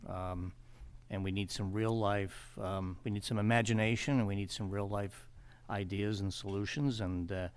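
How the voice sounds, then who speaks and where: A man giving unscripted remarks as a committee member at a public meeting, halting but insistent, repeating phrases. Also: fairly low pitch, fairly even intonation, clear, resonant tone. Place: public township meeting room.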